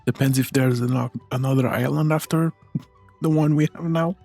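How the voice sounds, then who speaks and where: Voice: mid-range voice, fairly even intonation, normal speed, creaky, a little mellow, soft background music. A gaming video creator giving casual, offhand commentary over a playthrough, with dry remarks.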